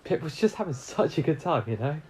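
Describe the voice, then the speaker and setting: A woman's voice: sits low, expressive, a little fast, darkish tone, breathy edge, exaggerated voice. A woman playing a worried, grieving parent of a missing child in an audio drama. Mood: anxious, sorrowful. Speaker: actor in an audio play. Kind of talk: naturalistic audio drama acting.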